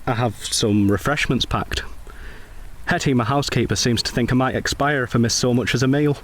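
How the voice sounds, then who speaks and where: An actor plays a gentlemanly Edwardian veteran in a radio play, kindly and quietly wounded. His medium-pitched voice is polite and understated, with a gently teasing edge. A light bed of ambient noise sits behind him. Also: fairly quick, close to an everyday voice. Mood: warm, teasing. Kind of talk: scripted radio drama dialogue.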